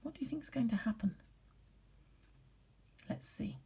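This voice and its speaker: A woman telling a story to young children like a primary teacher, expressive and deliberate, sometimes hushed. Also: on the high side, husky, in a whisper.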